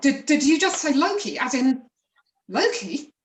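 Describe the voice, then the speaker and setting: Woman as slow-spoken peasant farmer, echoey. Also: sits high, sing-song. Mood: nervous. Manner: measured, deferential, hesitant. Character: strict yet humble, polite peasant farmer parent. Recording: roomy echo, distant mic.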